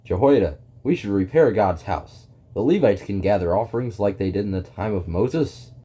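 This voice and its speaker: Man playing a husky, earnest young king. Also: lowish voice, lively intonation, moderate pace, warm tone. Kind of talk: audio drama acting.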